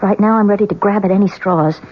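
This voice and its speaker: An actress playing a desperate, hesitant married woman in a radio drama, earnest and halting, with a pleading manner. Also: medium pitch, fairly even intonation, crowd noise, close to an everyday voice. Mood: anxious, determined. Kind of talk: 1970s radio drama acting. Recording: dull lo-fi radio drama, music behind.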